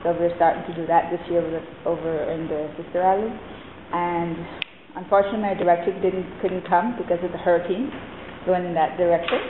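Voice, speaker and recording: A woman's voice: fairly low pitch, fairly even intonation, a little fast. A woman casually introducing herself and her work to a meeting. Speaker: museum manager at a meeting. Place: university meeting room. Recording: muffled, noisy, echoey meeting room.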